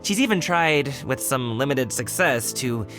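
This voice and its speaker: A man's voice: medium pitch, lively intonation, a little slow, music in the background, close to an everyday voice. A man playing a friendly young wushu dancer in an anime game, speaking in a breezy, sincere way. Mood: upbeat.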